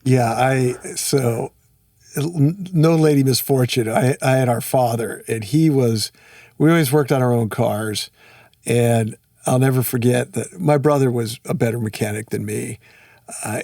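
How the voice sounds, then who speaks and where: The folksy guy hosting a small podcast chat, voice crackling with fry, sitting a bit back from the mic in a dry room. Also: medium pitch, a little slow, mellow, no room sound, distant mic. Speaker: podcast host. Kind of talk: casual conversational interview.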